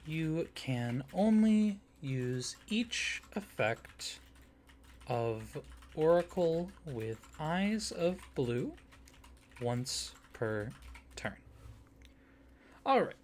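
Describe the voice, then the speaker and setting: A man speaking softly and warmly in an ASMR roleplay as a friendly card designer, gently enthusiastic. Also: fairly high pitch, slight background noise. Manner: soft-spoken, gently enthusiastic. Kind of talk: soft-spoken ASMR roleplay.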